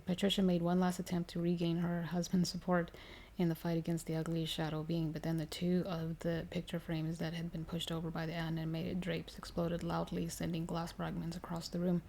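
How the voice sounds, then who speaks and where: A woman reading a horror story aloud in a soft, measured ASMR style, giving the dialogue some dramatic emphasis. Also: on the low side, completely flat delivery, creaky.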